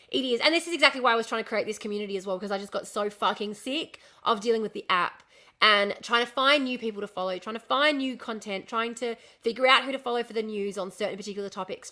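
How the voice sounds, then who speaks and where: A young woman chatting on a casual live stream, ranting and swearing freely while her voice is going hoarse. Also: a little high, pushed and bright. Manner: ranting, lots of swearing and restarts. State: losing her voice, coughing. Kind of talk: casual live-stream chat reading comments.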